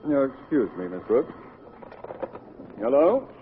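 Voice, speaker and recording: An actor playing a pompous, long-winded middle-aged high school principal in a vintage radio sitcom. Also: male voice, sing-song, takes it slowly, narrow, tinny audio. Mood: flustered. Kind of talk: 1940s-50s radio sitcom acting. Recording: vintage radio recording, hiss, studio audience.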